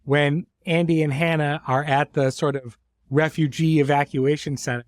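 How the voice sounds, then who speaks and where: A man's voice: fairly high pitch, steady tone, normal speed, dry recording. Middle-aged man hosting, dry close sound. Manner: effusive, informal praise. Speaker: host and series creator. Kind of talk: conversational podcast discussion.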